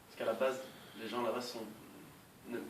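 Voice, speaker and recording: A young man from Belgium, answering interview questions in a calm, measured way with frequent hesitations. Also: mid-range voice. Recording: echoey room, voice far from mic, noisy.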